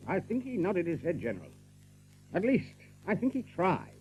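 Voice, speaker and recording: An actor playing a rabbit guard captain in an audiobook drama, dutiful and deferential, with brisk, clipped speech. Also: male voice, high voice, wildly swooping pitch, darkish tone, muffled recording, soft background music. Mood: nervous, deferential.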